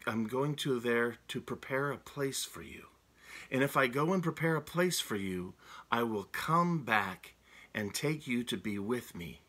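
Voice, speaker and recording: A man's voice: medium pitch, bright, forceful tone. A middle-aged man preaching a sermon from a room at home into a webcam, earnest and often restarting his words. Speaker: pastor. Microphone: webcam, seated close.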